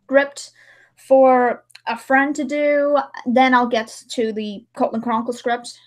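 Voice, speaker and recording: High-voiced young woman chatting casually. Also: little pitch movement, takes it slowly. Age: young adult. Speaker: livestream host and video editor. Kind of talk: casual livestream chat.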